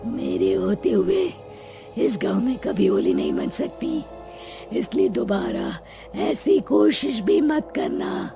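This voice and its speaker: A woman voicing the threatening ghost of a dead king in a cartoon horror story, with a slow, menacing delivery. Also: mid-range voice, wildly swooping pitch, creaky, warm tone, soft background music, exaggerated voice.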